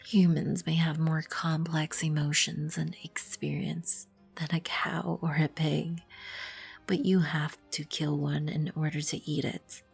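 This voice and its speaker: Woman playing a slow, husky, regal vampire queen. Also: low voice, little pitch movement, heavily acted. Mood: stern, indignant. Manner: imperious, measured, formal. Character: regal, composed, proud vampire queen, protective of her colony. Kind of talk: ASMR roleplay, scripted second-person acting. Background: background music.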